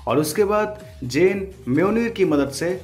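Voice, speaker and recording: A man's voice: high-pitched, lively intonation, measured pace. A man hosting a voice-over breakdown in an informal, slangy way, as commentary for viewers. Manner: informal, crude slangy joking. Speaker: video host.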